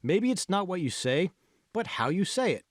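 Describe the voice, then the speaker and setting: A man's voice: high-pitched, big pitch swings, moderate pace, no room sound, clean studio sound, voice pushed up. A man voicing a confident, encouraging college friend in an audiobook, prodding and persuasive. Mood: insistent encouragement. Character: confident, encouraging young male college friend, insistent coach. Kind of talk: audiobook character dialogue.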